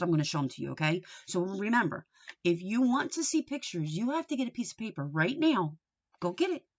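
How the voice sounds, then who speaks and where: A woman's voice: lowish voice, fairly bright tone. Woman reading to children, slightly dull recording. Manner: simple explanatory phrasing, addressing young listeners with questions. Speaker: teacher reading aloud to children. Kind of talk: read-aloud lesson for young children. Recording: dull, lo-fi sound.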